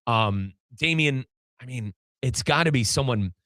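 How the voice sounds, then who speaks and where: Casting: American male soccer podcast host, forceful bright voice, informal and chatty, close dry sound. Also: medium pitch, lively intonation, unhurried, dry recording. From United States. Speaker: sports podcast host and play-by-play commentator. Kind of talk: casual, animated soccer discussion.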